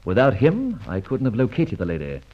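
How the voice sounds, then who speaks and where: A man playing a suave, cocky gentleman adventurer in an old radio serial, breezy and teasing with flourished phrases. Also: medium pitch, huge pitch swings, a little fast. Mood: brisk, playful. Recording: dull, muffled old radio recording.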